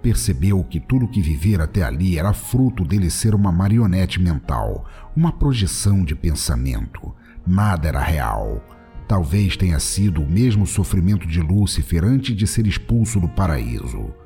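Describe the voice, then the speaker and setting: A man's voice: sits low, big pitch swings, moderate pace, mellow. A man with a Brazilian accent narrating a scripted comic story in a solemn, dramatic way. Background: music.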